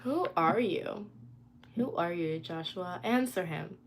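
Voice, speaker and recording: A woman's voice: lowish voice, lively intonation, slow, slight background noise. Chatty young woman livestreaming from her phone. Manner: chatty, reads and answers comments. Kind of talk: casual live stream chat. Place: indoors at home.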